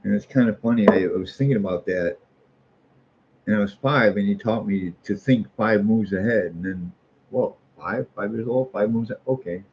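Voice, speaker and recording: A man's voice: on the low side, normal speed. A middle-aged American man in a casual, rambling monologue, speaking in a folksy, opinionated way and repeating phrases for emphasis. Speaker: street artist. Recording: muffled lo-fi audio with faint noise.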